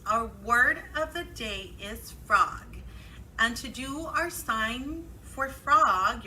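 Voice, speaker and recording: Female storytime host for young kids. Highish pitch, slow simple instructions, faint noise behind. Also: sharp tone, rough recording. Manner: instructive, simple phrases with repetition for young children. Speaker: library children's storytime presenter. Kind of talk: children's storytime teaching with singing and counting.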